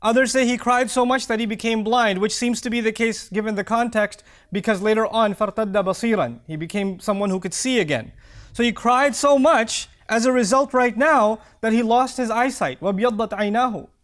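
A man in his thirties giving an expressive Friday sermon in a small prayer hall, reciting verses and then explaining them. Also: sits high, rapid-fire. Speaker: preacher. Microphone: lapel mic.

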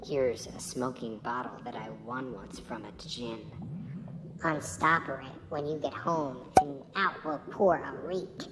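A man's voice: medium pitch, steady tone, a little slow, pitched above the performer's own voice, natural voice. A man voicing a creepy but caring witch's hut, a mother giving frank advice in a storybook. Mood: caring, sly. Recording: long reverb with noisy ambience.

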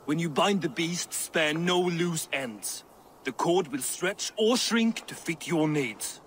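An actor playing a middle-aged, grumbling dwarf smith in a video game, blunt and wry. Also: male voice, a little high, animated, slow pace, fairly bright tone, a little hiss or hum. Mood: proud, wry. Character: gruff, proud, grumbling male dwarf smith. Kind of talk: cinematic video game acting.

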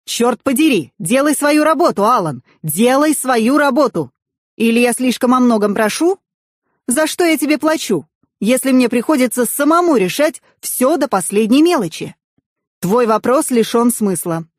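A woman's voice: fairly high pitch, lively intonation, moderate pace, no room sound, studio quality, pitched above the performer's own voice. A woman voicing an arrogant, wealthy, angry businessman in an audiobook, curt and scornful.

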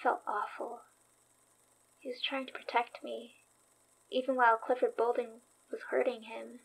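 Female young store worker, slightly high voice, earnest and anxious, halting delivery, naturalistic horror audio drama. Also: flat delivery, creaky, breathy edge, exaggerated voice, poor audio. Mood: guilty, subdued. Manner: hesitant, halting.

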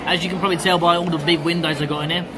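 A man's voice: fairly high pitch, level tone, very fast. A young man chatting casually and jokily to his vlog, often repeating phrases. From England. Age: young adult. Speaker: vlogger. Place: on the go in car, large busy pub, theatre. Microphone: handheld phone at arm's length. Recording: loud music and bustling crowd behind.

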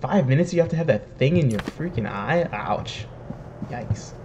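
A young man with a slightly hoarse voice, chatting casually and jokingly while he plays and reads game text aloud. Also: medium pitch, expressive, moderate pace, a little mellow, slight background noise. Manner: chatty, joking, laughs at himself. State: slightly hoarse, says he is sick and losing his voice. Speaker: streamer playing a video game. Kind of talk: casual let's-play commentary, reading in-game text aloud. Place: home room, webcam in corner.

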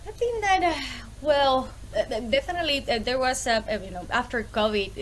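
A woman in her thirties from Puerto Rico speaks with a Puerto Rican accent. She sits in a room at home and talks over a video call, animated and often laughing, her voice a little high with faint hiss behind it. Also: fairly quick. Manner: lively, laughs often, many fillers. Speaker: guest, small business owner. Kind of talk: casual interview on a video call.

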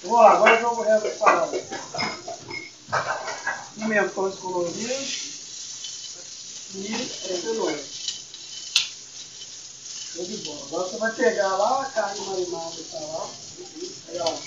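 A man's voice: high voice, a little slow, long pauses, distant mic. A middle-aged man with a Brazilian accent, a chef giving casual, slangy, hands-on cooking instruction to an apprentice. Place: busy restaurant kitchen, indoors. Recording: echoey, noisy, muffled kitchen pickup from afar. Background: loud clatter of dishes and pans.

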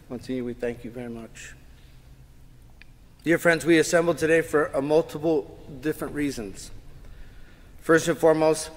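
A middle-aged man, a priest, delivering a funeral homily from the pulpit in a measured, solemn way, rising in emphasis at key points. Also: a little high. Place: large church, indoors. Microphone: pulpit microphone. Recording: long church reverb.

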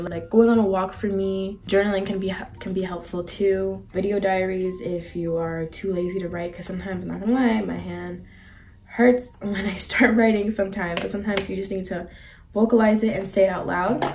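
A woman's voice: mid-range voice, a little mellow. A 21-year-old woman vlogger talking in a casual, slangy, confiding way, as in a chatty sit-down monologue. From several countries, including Argentina and Bosnia. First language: bilingual English and Spanish. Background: background music.

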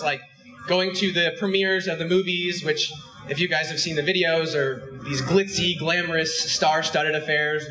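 A young man telling a self-mocking story on stage to a live crowd, holding a handheld mic. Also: fairly high pitch. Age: young adult. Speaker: company head and co-host. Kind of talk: stage anecdote to a live audience. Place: large dark stage in a hall. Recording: echoey hall.

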